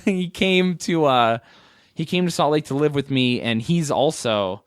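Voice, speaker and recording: A man's voice: on the high side, expressive, a little slow, clear, resonant tone, no echo. Twenty-something guy rambling thru his life story, candid and self-mocking, dry close sound. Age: 20s. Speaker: guest comedian. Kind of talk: casual interview storytelling.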